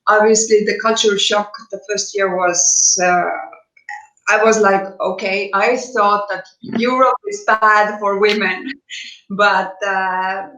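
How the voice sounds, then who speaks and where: A woman's voice: mid-range voice, fairly even intonation, slow, bright, forceful tone, echoey room, low-quality recording. A woman from Estonia, a business coach, giving hesitant conversational interview answers with many restarts.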